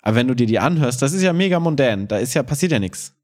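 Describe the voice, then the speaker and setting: A man with a medium-pitched voice talks casually as a podcast co-host. He phrases things loosely, with youthful slang and English borrowings. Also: expressive, fast talker. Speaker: podcast co-host, improv performer.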